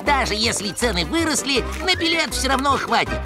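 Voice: sits high, big pitch swings, a little fast. An actor voicing a confident, encouraging cartoon animal giving money advice, over cartoon music.

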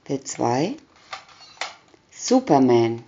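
Very slow-reading woman, lively intonation. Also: rumbling low, lo-fi sound, slight background noise. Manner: announces short captions one by one. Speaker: reader. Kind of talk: slow scripted reading of a children's picture booklet.

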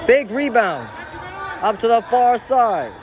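A man giving excitable play-by-play commentary while filming a soccer match on a phone from the sideline of a large indoor hall. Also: very high voice, takes it slowly, some chatter behind. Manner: excitable, exclaiming at each chance. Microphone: phone camera filming from the sideline. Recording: noisy phone recording in a big hall.

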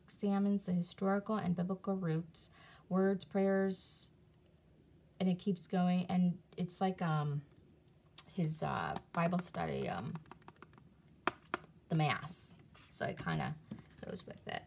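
A woman casually showing her CDs and reading their back covers aloud, halting often with fillers and restarts, recorded on a phone camera above a table indoors. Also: fairly low pitch, animated, creaky, darkish tone. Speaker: video creator reviewing CDs. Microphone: phone camera filming her hands on a table from above.

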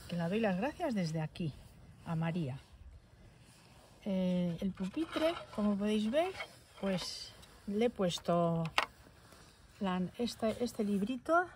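A woman's voice: mid-range voice, darkish tone. A woman chats her way through a doll collection, stopping now and then to hunt for the right word. She is recorded outdoors in a garden. A faint hum sits behind her voice. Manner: chatty, affectionate, pauses to search for words. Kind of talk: casual show-and-tell. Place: outdoors on a stone table in a garden.

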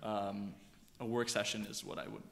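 A young man, an opera singer, casually explaining his vocal warm-ups on a concert hall stage. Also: lowish voice, level tone, moderate pace, gravelly, slight background noise. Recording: long concert hall reverb.

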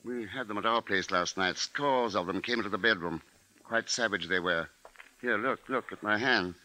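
An actor playing a grave, observant husband and father, narrating in a measured, deliberate 1950s radio drama style. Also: fairly low pitch, sharp tone, poor audio. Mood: uneasy, restrained. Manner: measured, deliberate, literary delivery.

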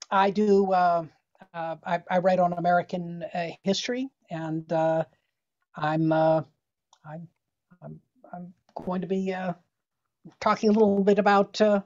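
A middle-aged man speaks informally and very slowly, with halting, hesitant phrasing. The video call audio is a little dull. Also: high-pitched. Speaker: historian, reader. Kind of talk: informal self-introduction. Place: indoor room on video call.

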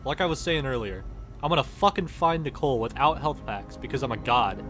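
A man's voice: mid-range voice, animated, brisk, fairly bright tone, faint music behind. A cocky, foul-mouthed man performing comedic in-character commentary over game footage. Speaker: parody dub performer voicing a game protagonist.

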